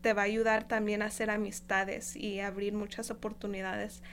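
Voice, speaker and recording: A woman's voice: medium pitch, level tone, moderate pace, fairly bright tone. Young woman interviewee, earnest, self-correcting. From California, of Guatemalan parents. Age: young adult. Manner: earnest, with frequent restarts and fillers. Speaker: graduating student guest. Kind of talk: casual interview answers.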